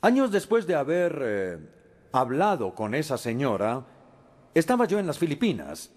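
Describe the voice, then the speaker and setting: A man giving a lecture as a Spanish voice-over, measured, with frequent pauses and hesitations. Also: on the high side, wildly swooping pitch, a little mellow.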